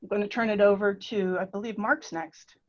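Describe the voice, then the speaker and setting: A woman's voice: mid-range voice, fairly even intonation, a little fast. Earnest woman speaking on a dull line. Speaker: panelist, educator. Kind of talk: panel presentation.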